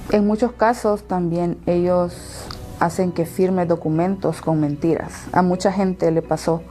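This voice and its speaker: A woman in her thirties with a Salvadoran accent, giving personal testimony in an interview and pausing between phrases. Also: lowish voice, fairly even intonation, dark tone, faint music behind. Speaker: interviewee telling her own story.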